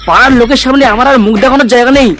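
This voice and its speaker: Man voicing a high-pitched, grumpy older cartoon father. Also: rapid-fire, a bit bright. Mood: furious. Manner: indignant, scolding, crude. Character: grumpy, foul-mouthed older father and ironworker. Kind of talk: comedy cartoon dialogue. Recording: music behind.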